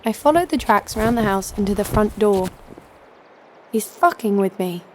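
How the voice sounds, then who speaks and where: An actress playing a tough, sharp-tongued young woman fighter, narrating and speaking in an audio drama with a sardonic edge. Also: mid-range voice, expressive, moderate pace, slight background noise, lightly acted. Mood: narrating, wry. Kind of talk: audio drama first-person narration and dialogue.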